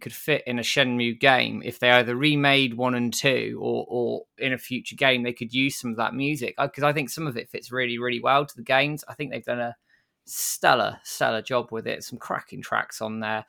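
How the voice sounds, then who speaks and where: A British man with a croaky, gravelly voice talks enthusiastically on a casual podcast, tripping over and repeating his words. His tone is bright and forward, and the recording is dry. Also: medium pitch, no room sound. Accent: British English. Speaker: podcast co-host.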